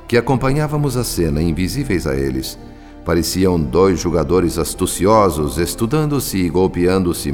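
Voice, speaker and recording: Deep, solemn spirit narrator, reverberant. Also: male voice. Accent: Brazilian. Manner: solemn, theatrical, literary. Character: solemn invisible spirit narrator observing a family drama. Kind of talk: dramatic scripted narration, radio drama. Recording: long reverb over soft music. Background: soft music.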